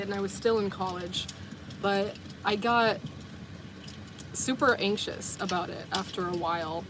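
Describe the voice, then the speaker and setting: Chatty young woman with background noise. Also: mid-range voice. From US South. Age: 20s. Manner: chatty, rambling, full of "like" and self-corrections. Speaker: vlogger and livestreamer. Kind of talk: casual chat with viewers.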